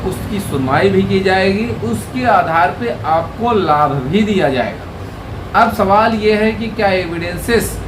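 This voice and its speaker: High-pitched man explaining law, echoey noisy room. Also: a little slow. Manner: conversational, rhetorical questions, addresses viewers directly. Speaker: legal advisor presenting a video. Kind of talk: informal explainer talk to viewers. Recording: echoey room with clear background noise.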